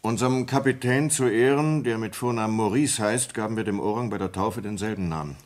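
An actor playing a distraught sailor in a German radio play, confessing in a halting, intense manner with a husky voice. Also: male voice, on the low side, close to an everyday voice. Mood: reluctant, uneasy. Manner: halting, intense, swinging from hushed to agitated. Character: distraught sailor confessing a horrifying ape killing. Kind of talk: German radio play acting, dramatic monologue.

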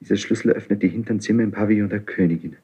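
An actor playing a highly strung young crown prince in a classical radio play, declaiming intensely with a husky voice. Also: male voice, steady tone, very fast, mellow. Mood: agitated, suspicious. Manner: impulsive, intense, theatrically declaiming. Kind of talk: classical German radio play stage acting.

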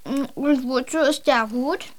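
High-voiced, curious young girl. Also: measured pace, no echo, low-quality recording. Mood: inquisitive. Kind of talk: German radio play acting.

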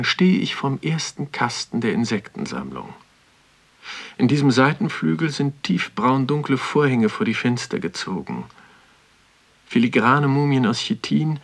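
Solemn, brooding first-person narrator. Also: male voice, mid-range voice, a bit bright, exaggerated voice. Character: brooding male museum visitor musing on dead exhibits. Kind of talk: scripted literary monologue.

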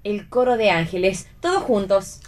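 An actress playing a wry, bossy stage performer who gives dry retorts and directs others in a radio play reading. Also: female voice, medium pitch, lively intonation, normal speed, close to an everyday voice. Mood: wry, explaining. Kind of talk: radio read theatre dialogue.

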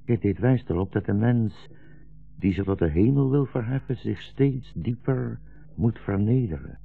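Weary old blind rabbi, really low voice, solemn storyteller, old dull radio play recording. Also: male voice, lively intonation, unhurried, gravelly, husky, soft background music, exaggerated voice. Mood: solemn, preaching. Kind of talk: 1960s radio drama acting.

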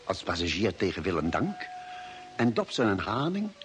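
A man's voice: sits low, wildly swooping pitch, moderate pace, a little hiss or hum. A male actor playing a solemn space explorer, giving weighty scripted narration in a mid-century radio serial.

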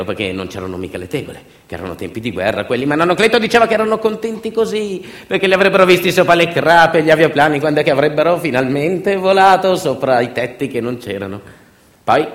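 Male stage storyteller, lowish voice, emphatic colloquial monologue with repetitions, echoey room. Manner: colloquial, emphatic, repeats phrases. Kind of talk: theatrical narrative monologue. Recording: roomy echo, faint music. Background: faint music.

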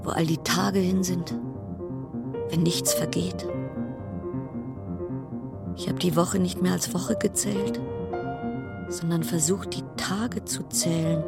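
A woman's voice: fairly low pitch, little pitch movement, takes it slowly, creaky, long pauses. Frayed, brooding woman over music. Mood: brooding, resigned. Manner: fragmented, broken-off sentences, repeating words. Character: frayed, suspicious female cruise ship passenger, brooding monologue. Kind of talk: German radio play monologue.

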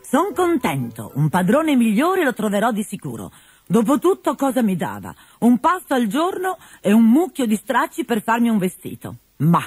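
A woman's voice: fairly high pitch, moderate pace, breathy edge, voice pushed up, full character voice. A woman voicing a cheerful, carefree young male servant in a storybook reading. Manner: upbeat, lively. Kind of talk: storybook character voice.

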